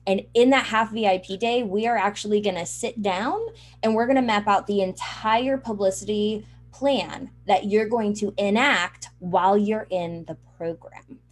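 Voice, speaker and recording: A woman's voice: mid-range voice, steady tone, moderate pace. Woman, interview guest and program creator, mid-range voice, conversational sales explanation.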